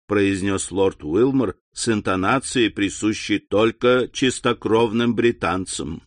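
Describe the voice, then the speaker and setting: A man narrating a classic novel as an audiobook, in an even, measured reading on a close studio mic. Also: medium pitch, no echo.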